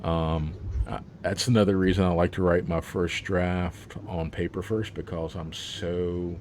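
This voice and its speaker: A man's voice: low voice, flat delivery, measured pace, a little mellow, a little hiss or hum. A man, an author, talking about his book in a casual interview, rambling with plenty of fillers and laughs.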